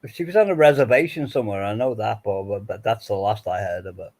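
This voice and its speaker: A man's voice: on the low side, quick pace, a little mellow. A man from Liverpool whose accent has faded after years in southwest England, chatting casually and rambling with frequent restarts and laughs. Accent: faded Liverpool accent softened by years in southwest England. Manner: rambling, self-interrupting, laughs often. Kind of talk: casual chat.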